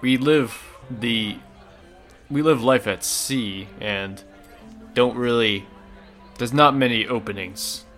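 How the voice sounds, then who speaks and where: A man playing a friendly, frank sailor in a tabletop game, speaking casually and politely with halting phrases. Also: mid-range voice, fairly bright tone, soft background music. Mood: friendly, apologetic.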